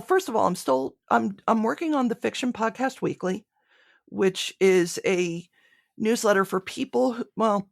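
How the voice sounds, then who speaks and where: A woman talks conversationally as an interview guest. Her mid-pitched voice carries noticeable vocal fry. The sound is dry, with hardly any room on it. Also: dry recording. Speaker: podcast guest, audio drama creator.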